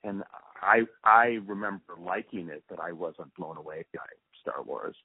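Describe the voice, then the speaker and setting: A man with a low-pitched, rough-edged voice answers interview questions as a producer looking back. He speaks casually and thoughtfully, often breaking off and restarting his thoughts. The sound is dry and narrow, like a telephone line. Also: no echo. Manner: halting, reflective, often trailing off mid-thought. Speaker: interview guest, radio drama producer and sound mixer. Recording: muffled, narrow phone-like interview recording.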